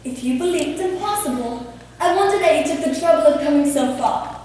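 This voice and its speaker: Woman acting high-voiced proud young gentlewoman. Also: expressive, brisk, a little hiss or hum. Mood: coolly defiant. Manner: crisp formal retorts. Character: proud, defiant young gentlewoman, quick-witted. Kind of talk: period stage play acting. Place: theatre stage. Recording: echoey stage recorded from far off.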